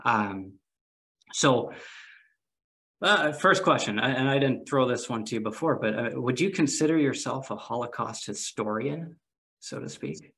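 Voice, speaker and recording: A man's voice: medium pitch, expressive, bright, forceful tone. Chatty podcast host man, friendly. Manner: friendly, quick to laugh, repeats words while asking. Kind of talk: casual podcast interviewing.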